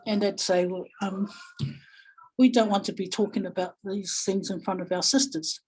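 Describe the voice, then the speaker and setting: A woman with a New Zealand accent speaking reflectively in a webinar, pausing thoughtfully and searching for her words. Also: mid-range voice, steady tone, darkish tone, slightly rough, poor audio. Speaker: guest webinar speaker, family violence practitioner.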